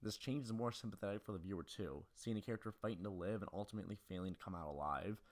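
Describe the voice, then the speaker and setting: A man's voice: fairly low pitch, fast, noisy background. A man reading a scripted film analysis as host of a video essay.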